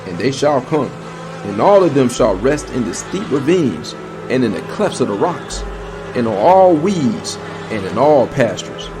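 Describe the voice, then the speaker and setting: A man's voice: mid-range voice, very animated, measured pace. A man narrating a dramatized Bible reading in a solemn, declamatory and emphatic manner. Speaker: scripture narrator. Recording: echoing voice over background music. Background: background music.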